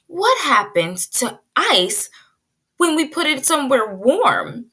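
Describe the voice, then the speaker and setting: A woman pre-K teacher giving an expressive read-aloud lesson, drawing out key words and putting guiding questions to young listeners. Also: sits high. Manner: drawn-out emphasis on key words.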